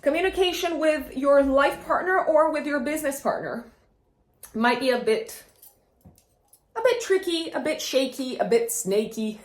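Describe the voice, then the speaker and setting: A woman from Romania, a native Romanian speaker, talks with a fairly high-pitched voice in a noticeably echoey room. She hosts a horoscope in a chatty, informal way, repeating words as she thinks aloud and keeping a playful touch. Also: lively intonation, unhurried. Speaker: astrologer and host. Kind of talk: casual, chatty monthly horoscope talk.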